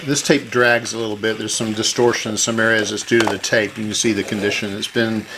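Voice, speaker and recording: A man's voice: fairly low pitch, level tone, fairly quick, noisy background. A man talking casually and conversationally in a folksy way as he hosts a hands-on electronics repair demonstration. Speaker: host of electronics repair videos.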